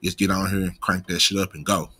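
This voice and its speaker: Male commentary host, American, lowish pitch with a sharp edge, slight stutter, casual profane street slang, unscripted. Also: level tone, fast, breathy edge. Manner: imperfect pronunciation of some words.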